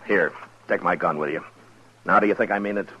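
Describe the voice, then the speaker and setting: A commanding, measured frontier lawman, very low in pitch, muffled vintage radio western sound. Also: sharp tone, breathy edge, full character voice. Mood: firm, urgent. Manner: terse, measured, authoritative. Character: steady, terse frontier marshal, grown man. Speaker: radio drama actor. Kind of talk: 1950s radio western acting. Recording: muffled old 1950s radio recording.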